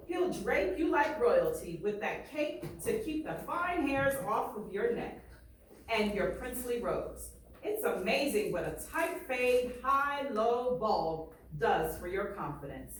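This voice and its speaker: A woman's voice: a little high, big pitch swings, slow. A woman teacher reading aloud to a class, speaking emphatically and often checking in with her listeners. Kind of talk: expressive classroom read-aloud with questions to pupils. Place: echoey classroom, mic at a distance. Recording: roomy echo, distant mic, faint noise.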